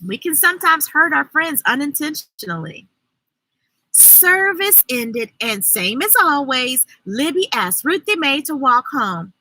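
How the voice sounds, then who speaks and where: A woman reading a children's story aloud, expressive and deliberate, adding her own asides. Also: high voice, low-quality recording. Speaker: storyteller, host.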